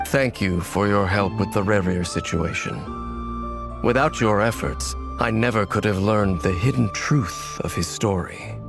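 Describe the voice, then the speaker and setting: A man's voice: lowish voice, a little slow, vocal fry, music in the background. A man voicing a brooding, world-weary, long-lived warrior in a video game, speaking with grave, formal restraint. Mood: somber.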